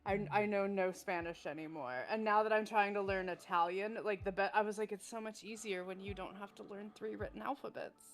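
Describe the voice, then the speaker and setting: A woman in her thirties co-hosting a game stream, chatting in quick, animated bursts. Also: medium pitch. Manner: animated, nosy, talks fast in bursts. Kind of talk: casual chat.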